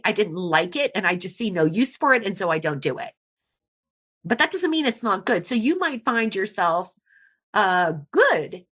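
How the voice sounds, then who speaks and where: A woman speaks as a podcast guest in a bright, forceful voice. Her talk is casual and frank, and she stresses her points by repeating them. She sits at a middling pitch for a woman.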